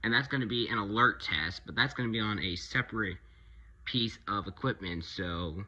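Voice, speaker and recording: A man with a lowish, very bright and forceful voice talks through a casual product review. He goes slowly and haltingly, often pausing partway through a thought. The sound is somewhat dull and lo-fi. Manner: halting, rambling delivery with pauses mid-sentence. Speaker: hobbyist video reviewer.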